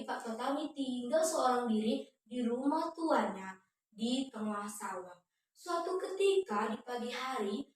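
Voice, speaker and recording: High-voiced young woman storyteller, very echoey. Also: slight background noise. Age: young adult. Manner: expressive, often addresses the audience as friends. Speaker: student storyteller. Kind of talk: children's storytelling addressed to viewers. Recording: echoey room, distant microphone.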